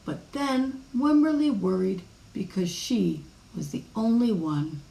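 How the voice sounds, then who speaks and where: Female storybook reader, expressive, highly melodic, touch of creak, roomy sound. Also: takes it slowly, slight background noise. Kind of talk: expressive children's read-aloud. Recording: echoey room.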